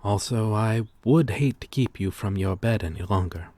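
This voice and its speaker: A man playing a courteous, lonely vampire in an ASMR roleplay, speaking softly and formally with hesitant pauses. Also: lowish voice, no room sound, close to an everyday voice. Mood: courteous, fond. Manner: soft-spoken, formal, polite, hesitant pauses. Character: gentle, courteous old-souled male vampire, lonely former fisherman. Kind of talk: ASMR roleplay audio drama.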